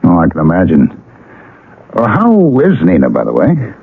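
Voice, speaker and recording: A plain-spoken struggling painter talks in a husky, creaky low voice. His delivery is colloquial and swings very widely in pitch. The 1970s radio drama sound is narrow and muffled. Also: huge pitch swings, normal speed, heavily acted. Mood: sympathetic, casual. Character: down-to-earth struggling male painter, concerned old friend. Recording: muffled, lo-fi old radio broadcast.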